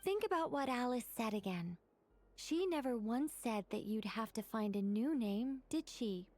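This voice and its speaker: A woman plays a knowing female angel mage with a fairly high voice. She explains things in a chatty, slightly rambling way while aiming for solemn poise, in video game style over faint music. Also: lively intonation, normal speed, a little mellow, lightly acted. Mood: bright, reassuring. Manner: explanatory, rambling, self-correcting.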